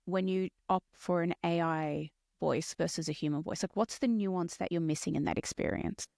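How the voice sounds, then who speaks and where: A woman's voice: lowish voice, moderate pace, vocal fry, a little mellow, dry recording, clean studio sound. A woman from Melbourne with an Australian accent, hosting a podcast in a chatty, self-deprecating way. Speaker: podcast host. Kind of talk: casual podcast conversation.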